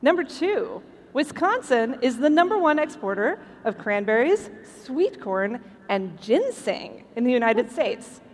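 A woman's voice: fairly high pitch, very animated, a little slow, darkish tone. A woman hosting a live stage show, delivering scripted, joking material to a convention hall. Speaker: podcast host on stage. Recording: live hall with long reverb.

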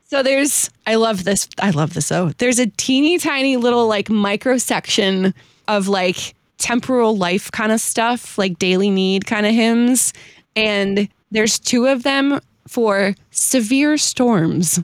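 A woman's voice: on the high side, slow pace, dry recording, studio quality. An American woman hosting a casual chat, informal and giggly, who also reads verses aloud. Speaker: host presenting a hymn-writer's story.

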